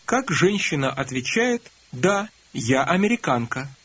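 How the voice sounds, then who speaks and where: Husky-voiced man narrating a language course. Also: on the high side, measured pace. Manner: calm, prompting, instructive. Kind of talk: scripted instruction prompting learners. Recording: dull lo-fi course audio.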